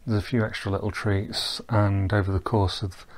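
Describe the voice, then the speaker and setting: Man, deep voice, monotone, casual tour guide voice-over, rambling, ums and restarts. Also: normal speed. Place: recorded while wearing VR goggles, not on the boat.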